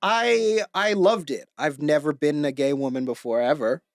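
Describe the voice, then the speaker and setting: A man's voice: a little high, moderate pace, noisy background. A man chatting as a podcast guest, wry and playful with a self-deprecating edge. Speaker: comedian guest. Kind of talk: casual podcast chat.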